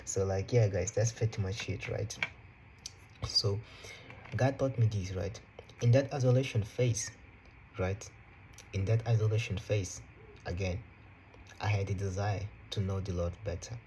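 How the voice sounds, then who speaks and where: A young man around twenty whose first language is Portuguese, talking casually to camera from his room in an unhurried way, full of "you know" and "right". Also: fairly low pitch, slight background noise. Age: young adult. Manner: relaxed, unhurried, repeats phrases, fillers like "you know" and "right". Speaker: vlogger. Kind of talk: casual motivational talk to camera.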